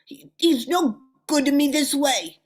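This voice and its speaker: A woman's voice: high-pitched, animated, unhurried. A woman playing a menacing, commanding female crime boss in an old-time radio thriller, tough and theatrical. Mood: suspicious, alarmed.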